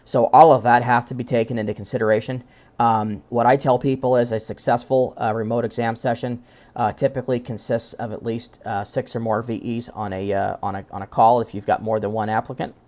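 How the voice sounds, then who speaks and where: A man giving an informal technical presentation as a conference presenter, speaking in halting phrases with frequent pauses and repeated words. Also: mid-range voice, steady tone, a little mellow.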